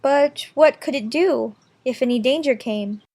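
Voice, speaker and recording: Storybook little girl, played by a woman: high, earnest, polite and curious. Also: a little slow, a little mellow. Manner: earnest, questioning. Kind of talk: storybook character voice.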